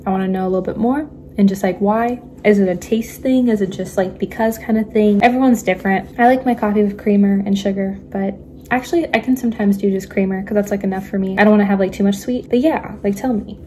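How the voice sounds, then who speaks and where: A young woman chats casually into a phone propped on her kitchen counter late at night, giggling and often sinking to a hushed, near-whispered voice. Her tone is warm and mellow rather than bright. A faint hum of noise sits behind her. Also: mid-range voice, fairly even intonation, fast. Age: young adult. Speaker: vlogger. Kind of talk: casual cooking vlog chat. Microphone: phone camera on the counter.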